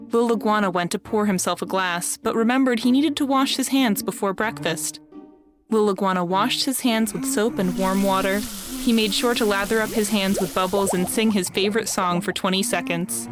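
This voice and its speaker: A woman reading scripted narration for a children's read-along storybook. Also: mid-range voice. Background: music.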